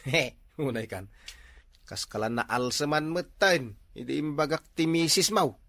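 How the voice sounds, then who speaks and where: A man's voice: a little high, measured pace, sharp tone, no echo, studio quality. A man playing a joking older neighbour in a comedy drama who teases and laughs a lot. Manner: teasing, laughing. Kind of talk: comedy web drama acting.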